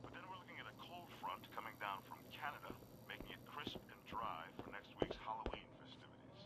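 Casting: folksy 1950s-style news anchor for a game, lowish voice, upbeat, noisy background. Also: male voice, very animated, fast. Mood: breezy, upbeat. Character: upbeat, folksy male retro television newscaster. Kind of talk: retro 1950s-style newscast.

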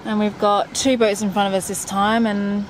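A woman's voice: mid-range voice, little pitch movement, normal speed, poor audio. A woman in her thirties chatting candidly to the camera on a boat deck, explaining things as she works. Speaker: sailing vlogger. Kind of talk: casual vlog talk to camera. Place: outdoors on a boat deck on a canal. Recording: outdoor recording with loud engine and wind noise. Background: loud boat engine and wind noise.

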